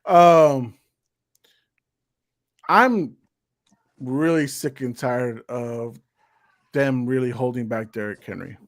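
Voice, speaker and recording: A man's voice: medium pitch, slow, long pauses. A man on a casual sports panel, making his case in an exasperated, pointed way with rhetorical questions.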